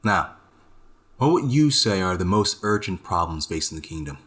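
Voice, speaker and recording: A man playing a self-assured young nobleman in a fantasy audio drama, speaking in a formal, deliberate, oratorical way. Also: deep voice, sing-song, a bit bright. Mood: cool, measured. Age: young adult.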